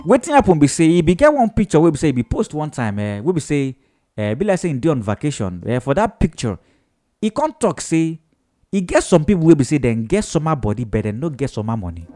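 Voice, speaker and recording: A man's voice: a little high, quick pace, over music. A man with a Nigerian Pidgin accent, hosting a casual, teasing gossip show. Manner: animated, chatty, teasing. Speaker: radio show host.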